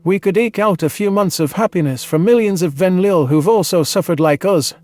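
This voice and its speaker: A man reading a dramatized science fiction story in the first person, earnest and measured with a dramatic delivery. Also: high-pitched, darkish tone, no echo. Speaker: audiobook narrator.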